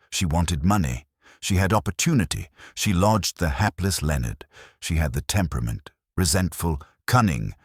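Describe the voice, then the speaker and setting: A man narrates a detective story in a very deep voice. His delivery is deliberate and literary, yet the pitch moves in a lively way. The tone has some huskiness. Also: breathy edge, no echo, clean studio sound. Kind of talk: scripted audio mystery narration.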